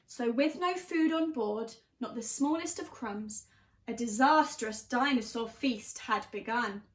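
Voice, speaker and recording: A woman with a high voice reads a children's storybook in a roomy, echoing space. She tells it expressively, leaning on key words for drama. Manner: animated, dramatic emphasis with drawn-out key words. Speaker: storyteller reading a children's picture book. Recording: roomy, echoing room sound.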